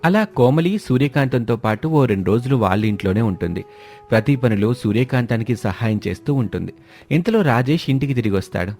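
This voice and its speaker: A man reads scripted story narration. His voice is dark and relaxed, at a middling pitch, with faint music behind it. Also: a little fast.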